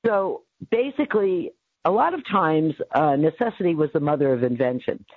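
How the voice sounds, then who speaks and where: Husky low-voiced woman in her seventies. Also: animated, moderate pace, dry recording. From New York City. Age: 70s. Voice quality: low, bassy voice. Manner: rambling, with many fillers and frequent laughs. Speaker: guest, radio network founder. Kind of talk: casual interview over the phone. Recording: tinny phone line, dry.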